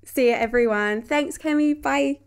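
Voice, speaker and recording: A woman's voice: sits high, normal speed. Effusive woman podcast host. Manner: effusive, enthusiastic. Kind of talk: live online conversation, then podcast outro. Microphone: live-stream call.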